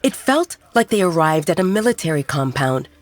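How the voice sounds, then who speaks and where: A woman's voice: low voice, big pitch swings, normal speed. A woman reading scripted fiction as an audiobook narrator.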